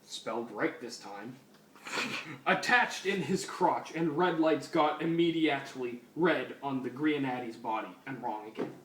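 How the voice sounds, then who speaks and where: A man's voice: medium pitch, lively intonation, a little slow. A man giving a mock-serious, theatrical dramatic reading of fan fiction. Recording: roomy, distant mic under loud music.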